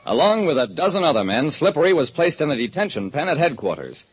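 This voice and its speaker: An actor playing a private detective who narrates in a dry, deliberate way in a radio drama. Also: male voice, on the high side, very animated, slight background noise. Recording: dull lo-fi old radio broadcast.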